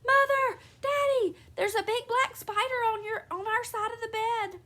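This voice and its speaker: A woman voicing an excitable little girl who squeals and pleads. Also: a little slow, full character voice. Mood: panicked. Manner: shrill, frantic pleading.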